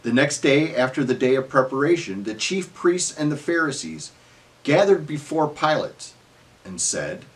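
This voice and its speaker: A man narrating scripture as a solemn dramatic reading, in measured phrases that trail off at the ends. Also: on the high side, very animated.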